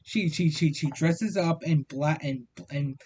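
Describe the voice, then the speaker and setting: A man giving a casual review commentary in a halting manner, often repeating words and syllables as he goes. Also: fairly high pitch, breathy edge. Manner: halting, frequent stammered repetitions of words and syllables. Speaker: video reviewer. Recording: noticeable steady background noise.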